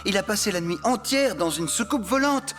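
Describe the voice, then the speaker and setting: An actor voicing an excitable, easily panicked farmhand in a French cartoon dub, with an animated, exclamatory delivery. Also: mid-range voice, moderate pace, over music, natural voice. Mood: excited, convinced.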